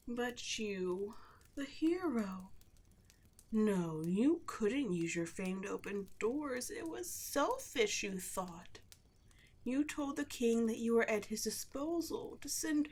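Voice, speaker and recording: A woman playing a seductive, condescending demon queen in a scripted ASMR roleplay, gloating at the listener and dropping at times into breathy whispers. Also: mid-range voice, darkish tone. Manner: slow, taunting, condescending, drops into breathy whispers.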